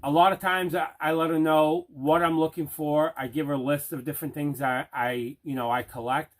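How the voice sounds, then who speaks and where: A man's voice: on the high side, fairly even intonation, moderate pace. Man chatting casually through an unboxing. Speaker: host of an unboxing video show.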